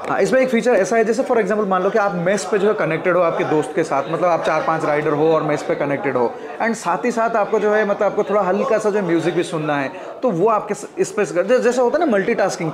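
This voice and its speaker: An Indian man in his thirties with an Indian accent, giving an informal, explanatory product review to camera and mixing in English. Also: high voice. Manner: explanatory, informal, addressing viewers as friends. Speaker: video host and product reviewer. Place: indoors at a wooden table. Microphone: clip-on wireless lapel mic.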